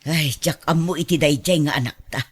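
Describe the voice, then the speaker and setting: A woman's voice: low-pitched, brisk, slightly rough. An actress playing a fretful, fussing middle-aged mother in a radio drama, with an Ilocano accent. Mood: resigned.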